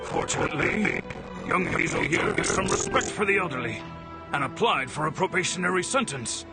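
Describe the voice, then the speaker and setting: An actor playing a proud, grumpy seventy-year-old former merchant with a creaky, grumbling delivery in a video game. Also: male voice, a little high, animated, moderate pace. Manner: grumbling, curmudgeonly. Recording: game music under the voice.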